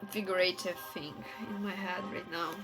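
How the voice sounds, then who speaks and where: A woman livestreamer with a non-native English accent, chatting casually and haltingly as she searches for words. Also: on the low side, fairly even intonation, music in the background, low-quality recording. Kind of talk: casual chat stream.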